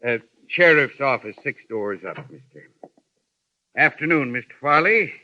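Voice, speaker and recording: A folksy frontier clerk. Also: male voice, medium pitch, animated, unhurried, pushed and bright. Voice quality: folksy drawl. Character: talkative frontier express clerk, folksy, wary. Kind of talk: 1950s radio drama acting. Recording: dry, dull lo-fi 1950s radio recording.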